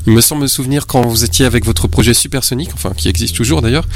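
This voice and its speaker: A man's voice: mid-range voice, racing, creaky, slight background noise. A man interviewing a musician, asking polite, formal questions. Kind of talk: radio-style interview questions.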